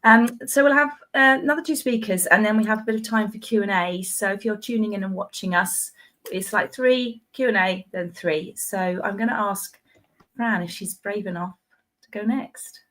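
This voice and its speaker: A woman hosting a live online talk show over a video call, thanking speakers and filling pauses with "uh" and "um". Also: mid-range voice. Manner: warm, lots of filler "uh" and "um". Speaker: podcast host.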